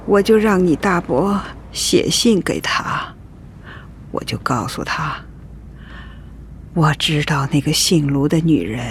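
An actress playing a sorrowful, forgiving old grandmother in a radio drama, gravelly and resigned, sighing as she recalls things. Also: low voice, a little hiss or hum, full character voice. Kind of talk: reflective radio drama acting.

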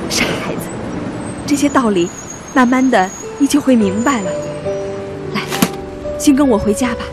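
A woman as a higher-voiced, admonishing young children's mother. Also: normal speed, natural voice. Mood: earnest. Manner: admonishing, maternal. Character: busy, chiding but caring mother of two young children. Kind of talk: radio drama acting. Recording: music bed under the voice.

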